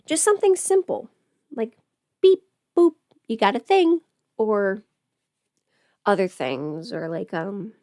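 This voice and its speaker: A woman talks in a casual, chatty livestream style, rambling and thinking aloud in a playful way. Her voice sits at a medium pitch and is recorded close and dry. She is a little under the weather. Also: darkish tone, dry recording. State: sick with a cold or illness. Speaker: livestream host and audio performer. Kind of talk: casual makeup livestream chat.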